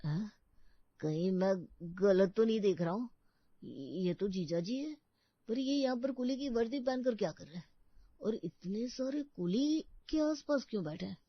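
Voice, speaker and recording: A woman's voice: medium pitch, animated, normal speed, no room sound, dull audio, natural voice. Woman as earnest, suspicious young brother.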